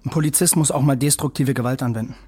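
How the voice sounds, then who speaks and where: A man's voice: medium pitch, steady tone, quick pace, warm tone, slightly rough, lightly acted. An actor playing a bitter, defensive young policeman in an audio drama, curt, dismissive and self-righteous. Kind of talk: German audio drama acting.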